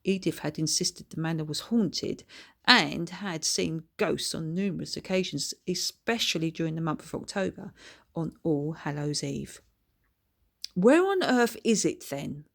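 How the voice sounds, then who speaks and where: A woman's voice: low voice, normal speed, creaky. A woman reading her own children's book aloud as scripted narration.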